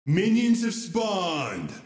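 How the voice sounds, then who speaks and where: A man playing a brash, foul-mouthed video game announcer, with loud, swaggering, drawn-out calls in a parody style. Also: high-pitched, wildly swooping pitch, soft background music, heavily acted. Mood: brash hype. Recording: echoey hall-like reverb from game clip.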